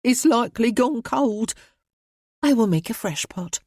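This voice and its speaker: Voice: animated, a little slow, a little mellow, dry recording, clean studio sound. A man voicing a careworn, thin working-class mother whose voice shakes but who stands firm. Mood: defiant, fretful. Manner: tremulous, lower-class speech.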